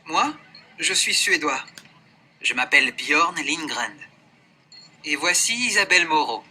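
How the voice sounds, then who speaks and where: A man voicing an adult man introducing himself and a colleague in a scripted textbook dialogue, friendly and clear. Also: a little high, expressive, a little slow, sharp tone, heavily acted.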